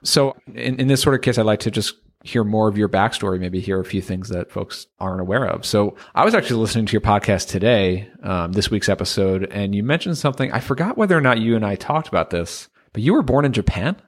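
A man's voice: on the low side, lively intonation, quick pace, hardly any pauses. A man hosting a podcast interview, asking loose, friendly questions with lots of fillers. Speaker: podcast host. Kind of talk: casual interview talk.

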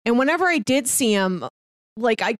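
A woman's voice: on the high side, expressive, moderate pace, dry recording, studio quality. A woman co-hosting a casual film chat podcast, talking in a wry, rambling, jokey way. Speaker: podcast co-host. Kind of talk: casual chat about films.

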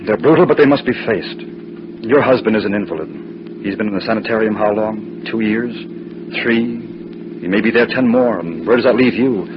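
An actor playing a blunt, lovestruck army air officer, earnest and insistent, in an old-time radio drama. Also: male voice, fairly low pitch, animated, brisk, natural voice. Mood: urgent, blunt. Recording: muffled, very noisy old radio recording.

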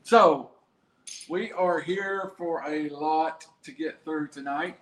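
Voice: medium pitch, slow pace, fairly bright tone. An adult host casually interviewing two children and prompting them with short, leading questions. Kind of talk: casual home-made show chat. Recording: roomy echo, faint background hiss.

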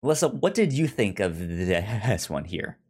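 A man with a fairly low voice talks casually as a podcast host reviewing entertainment. His speech stammers, often catching on a syllable or word and repeating it before moving on. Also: sing-song, measured pace, a little mellow, breathy edge.

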